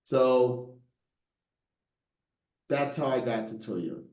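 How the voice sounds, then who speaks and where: A man's voice: medium pitch, slow, darkish tone, reverberant. A middle-aged man in a casual interview, telling stories with lots of rhetorical "right?" asides and a playful tone. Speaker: guest, brand designer.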